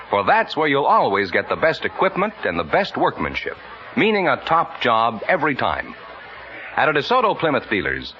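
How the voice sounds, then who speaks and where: A man announcing for a 1950s radio show in a polished, projecting salesman's delivery. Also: medium pitch. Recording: dull old radio recording, faint noise.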